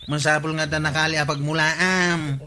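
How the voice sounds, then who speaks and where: Man playing eager villager, bright higher voice. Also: flat delivery, moderate pace. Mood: insistent. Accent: Ilocano Filipino. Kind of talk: Filipino comedy radio drama acting.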